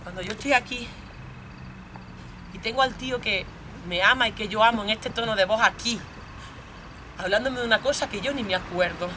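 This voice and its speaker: A woman's voice: on the high side, moderate pace, long pauses, bright, forceful tone, slight background noise. A woman voicing a jealous, bullying young boyfriend from a stage monologue, with a Spain accent, domineering and badgering with plenty of swearing. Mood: exasperated, bullying. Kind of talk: theatrical monologue role-play.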